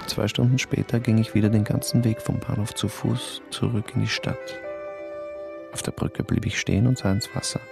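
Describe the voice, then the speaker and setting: A man tells a radio play in the first person. His voice sits low and gravelly, and he keeps it quiet and inward. Soft music runs faintly under the studio recording. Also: little pitch movement, moderate pace. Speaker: narrator and lead in a radio play.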